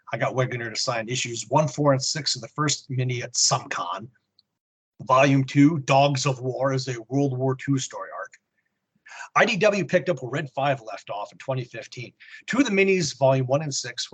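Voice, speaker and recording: A man's voice: medium pitch, a bit bright, slightly rough, low-quality recording. A man co-hosting a podcast, reading a prepared report on comics and history with snarky muttered asides. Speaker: podcast co-host. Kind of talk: comic book report read from a script with asides.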